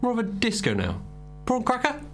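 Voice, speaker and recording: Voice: medium pitch, huge pitch swings, normal speed, fairly bright tone, slight background noise. A performer voicing a cheeky male club owner on a dating show. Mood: breezy.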